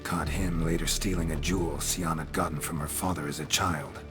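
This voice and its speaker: An actor playing a terse, world-weary monster hunter in a fantasy game, with a dry, clipped, blunt delivery. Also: male voice, very deep voice, steady tone, normal speed, over music. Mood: matter-of-fact. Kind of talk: understated fantasy game acting.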